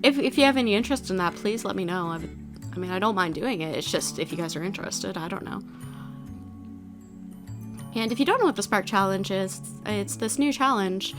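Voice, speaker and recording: A woman's voice: medium pitch, animated, fairly quick. A young woman with a stuffy, nasal voice from allergies, chatting casually and rambling as she talks through her video. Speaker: video creator. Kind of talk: casual commentary over a building video. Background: background music.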